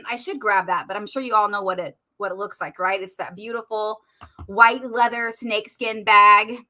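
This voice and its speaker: A woman, chatty and bubbly, hosting a live makeup demo while losing her voice and clearing her throat. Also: medium pitch, normal speed, pushed and bright, rough recording. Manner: chatty and bubbly, addressing viewers as y'all. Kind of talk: casual live-stream makeup tutorial.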